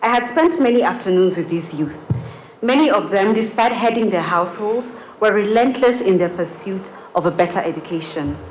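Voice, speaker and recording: A woman's voice: medium pitch, animated, normal speed, roomy sound, a little hiss or hum, low-quality recording. An eighteen-year-old woman from Kenya whose first language is Kiswahili, giving an earnest prepared speech at a gala dinner, with frequent uh fillers. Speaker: student speaker. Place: large banquet hall at a gala dinner.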